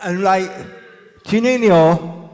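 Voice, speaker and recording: A man speaking to a crowd on stage, halting and repeating himself. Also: high-pitched, a little mellow. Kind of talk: unscripted speech to a crowd. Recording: reverberant hall with crowd noise.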